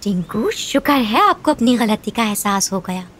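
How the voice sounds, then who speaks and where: A woman playing a caring, gently scolding mother of young children in a cartoon. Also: mid-range voice, big pitch swings, moderate pace. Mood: gently lecturing.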